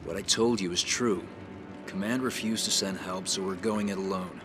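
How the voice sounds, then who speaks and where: An actor playing a grave, determined resistance soldier with a terse, resolute manner in a video game. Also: male voice, low-pitched, steady tone, moderate pace, music in the background, lightly acted. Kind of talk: video game cutscene acting.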